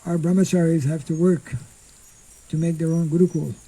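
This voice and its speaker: An older monk giving an informal scripture class outdoors into a stand mic, speaking slowly and haltingly and clearing his throat often. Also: fairly high pitch, level tone, mellow, low-quality recording. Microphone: stand mic in front.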